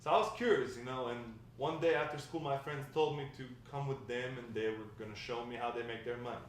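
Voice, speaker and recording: A talkative old grandfather played by a man reminisces in a conversational, hesitant way at a drama table read. He is warm and encouraging, with a mid-pitched voice. The recording is very echoey and distant from the mic, with faint noise. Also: fairly even intonation, lightly acted. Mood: reminiscing. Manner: conversational, rambling storytelling with hesitant pauses. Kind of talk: amateur table read of a script.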